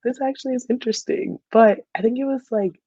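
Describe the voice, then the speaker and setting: A woman's voice: fairly high pitch, brisk, warm tone, no room sound. Chatty young American woman, dull lo-fi audio. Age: 20s. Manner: chatty, giggly, frequent "like". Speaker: podcast guest, dental student and soccer player. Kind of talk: casual conversational storytelling.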